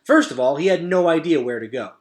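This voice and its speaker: A man's voice: a little high, lively intonation, fairly quick. A man reading a children's novel aloud as a storyteller, with dramatic, suspenseful delivery.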